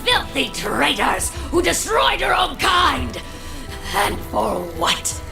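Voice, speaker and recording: Vengeful supernatural female game villain: high, very creaky, scornful, echoing over music. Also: big pitch swings, slow pace, exaggerated voice. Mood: scornful fury. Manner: theatrical, scornful. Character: bitter, vengeful female supernatural foe shouting curses. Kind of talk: video game cutscene acting.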